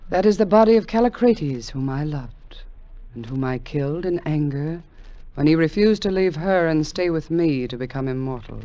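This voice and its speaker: An ancient, undying queen of old radio drama speaks in a dark, soft-edged voice. She is solemn and commanding, full of formal longing. The old recording is muffled and lo-fi. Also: sits low, animated, moderate pace. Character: ageless, veiled immortal queen, commanding and lovesick. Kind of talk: 1940s radio drama acting.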